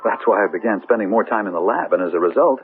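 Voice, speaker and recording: A man's voice: fairly low pitch, fast talker, natural voice. A man playing an anxious, ambitious scientist in a 1970s radio drama, hesitant and coaxing. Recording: lo-fi 1970s radio broadcast, music behind.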